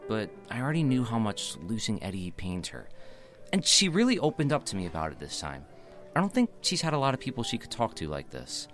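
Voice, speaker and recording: An actor playing an earnest young man in an audio drama, confiding warmly and singing. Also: on the low side. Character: earnest young man running a theatre show. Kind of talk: musical theatre singing and narration.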